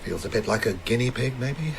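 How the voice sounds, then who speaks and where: A man's voice: mid-range voice, expressive, a little fast, creaky, noisy background. An actor playing a polite, reassuring, slightly uneasy male research doctor in a radio drama. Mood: soothing.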